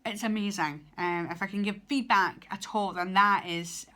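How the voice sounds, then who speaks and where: A woman's voice: medium pitch, steady tone, moderate pace, sharp tone. A young Scottish woman, a student teacher, giving casual advice in a vlog with lots of ums and restarts. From Scotland. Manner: chatty, hesitant, many ums and restarts.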